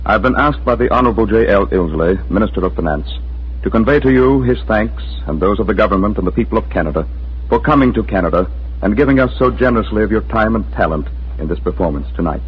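A man's voice: fairly low pitch, lively intonation, fast, slight background noise. A man reading a formal scripted 1940s radio announcement in a solemn, oratorical way. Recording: dull 1940s radio broadcast.

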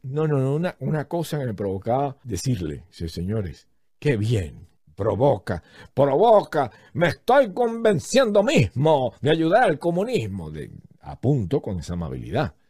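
A man's voice: mid-range voice, wildly swooping pitch, moderate pace, warm tone, breathy edge, dry recording, clean studio sound. A man telling a story in a casual, comedic way, animatedly acting out what other people said.

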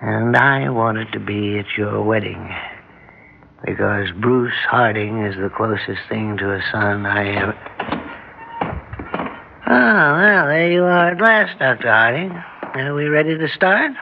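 A sick old doctor, wry and bossy, old radio drama, dull lo-fi sound. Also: male voice, on the low side, lively intonation, measured pace, gravelly, slight background noise. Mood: intense, probing. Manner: probing, commanding, dryly wry. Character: elderly ailing male doctor, sharp, proud, selfless.

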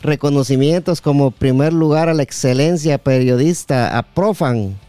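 A man's voice: fairly high pitch, mellow, no room sound. A man hosting a podcast in a conversational interview style. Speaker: podcast host.

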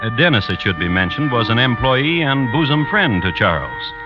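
A man's voice: mid-range voice, very animated, moderate pace, music in the background, close to an everyday voice. A man giving wry, mock-serious scripted narration for an old-time radio drama. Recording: dull vintage radio recording.

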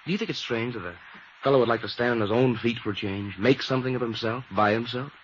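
A man's voice: medium pitch, fast talker, close to an everyday voice. Sardonic young heir on muffled radio, played by a man. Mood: resentful. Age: 20s. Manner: sardonic, well-spoken. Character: genteel, resentful young male heir. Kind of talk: 1950s radio drama acting. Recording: dull, noisy old radio recording.

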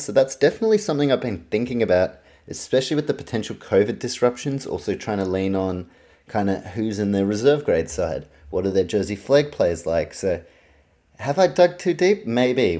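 A man's voice: lowish voice, warm tone. A man with a New Zealand accent giving a casual, opinionated solo sports preview as a podcast host, informal and sweary. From Auckland, New Zealand.

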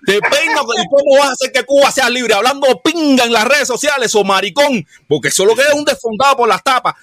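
A man's voice: very high-pitched, a little fast, a bit bright, dry recording, clean studio sound. A man, hosting a livestream and mocking a caller in crude commentary. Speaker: livestream host.

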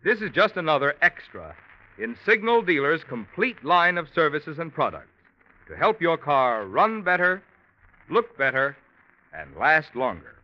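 Lively male announcer on muffled old radio. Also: on the high side, measured pace. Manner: polished, persuasive. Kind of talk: 1940s radio commercial announcing. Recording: dull vintage radio recording.

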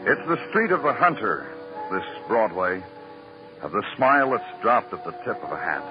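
An actor playing a brooding, world-weary American detective, narrating a 1950s noir radio show in dramatic, poetic phrases. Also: male voice, fairly low pitch, big pitch swings, normal speed. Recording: dull vintage radio recording. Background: music.

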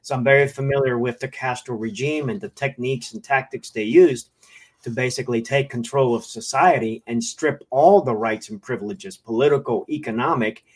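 A man from Miami comments on politics as a guest, with a voice of average pitch. He explains things in formal terms, building up lists of points one after another. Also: normal speed. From Miami, United States. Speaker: guest, former intelligence agent.

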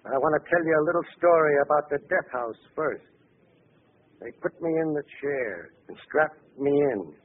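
An actor playing a vengeful gangster back from the grave, deliberate and menacing, in 1940s radio style. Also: male voice, on the high side, expressive. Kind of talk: 1940s radio horror-crime acting. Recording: noisy, narrow-band old radio broadcast.

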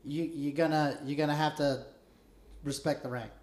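A man with a loud, carrying voice, hosting a casual podcast and telling military stories in blunt, profane slang. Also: on the high side, steady tone, moderate pace, reverberant. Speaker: podcast host interviewing a fellow veteran.

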